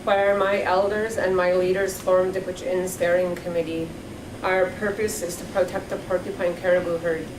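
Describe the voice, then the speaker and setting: A woman's voice: fairly low pitch, level tone, moderate pace. A woman from Alaska, a community advocate, speaking urgently at a public forum. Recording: echoey distant hall recording with noise.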